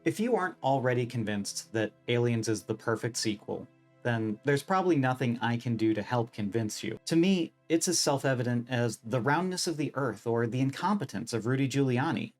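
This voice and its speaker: A man's voice: medium pitch, lively intonation, a little fast. Wry male video essayist over music. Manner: wry, opinionated, emphatic. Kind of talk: scripted video essay narration.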